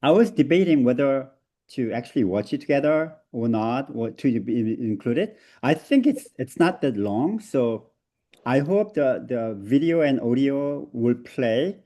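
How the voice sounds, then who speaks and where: A man's voice: mid-range voice, measured pace. Korean-accented man leading an online seminar. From South Korea. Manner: animated, laughs often, hesitant fillers. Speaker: seminar presenter. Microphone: video call.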